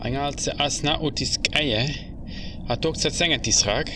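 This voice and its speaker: A man's voice: medium pitch, normal speed, lightly acted. A man playing a male Na'vi alien villager in an amateur audio drama performed in a constructed language. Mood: conversational. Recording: distant mic, clear background noise.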